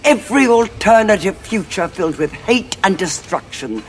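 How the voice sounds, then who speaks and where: A woman playing a cold, calculating senior Time Lord strategist in an audio drama, with a measured, imperious delivery. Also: medium pitch, animated, slight background noise, close to an everyday voice. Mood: fanatical.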